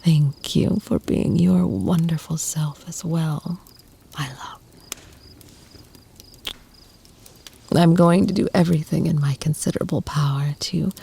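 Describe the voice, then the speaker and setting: Woman playing a slow, half-whispering ancient vampire lover. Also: low voice, creaky, husky, heavily acted. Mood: affectionate, devoted. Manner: soft, half-whispered, slow, deliberate, formal phrasing. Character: ancient, wealthy female vampire, tender, protective lover. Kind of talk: ASMR roleplay, intimate monologue to the listener.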